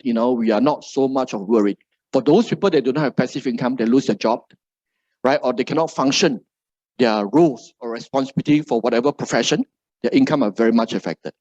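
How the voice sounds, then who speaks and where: A man's voice: mid-range voice, darkish tone, no echo, highs cut off. Middle-aged Malaysian man, "right?" after every phrase. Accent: Malaysian English. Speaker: motivational speaker. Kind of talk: seminar talk to a live audience.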